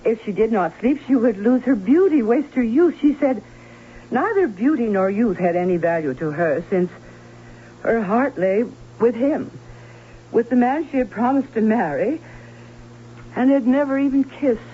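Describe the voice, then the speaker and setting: Actress doing nervous fretful aunt in old radio play, very dramatic, hissy dull recording. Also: female voice, fairly high pitch, expressive, moderate pace, natural voice. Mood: grave recounting. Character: fearful, anxious aunt of a castle household.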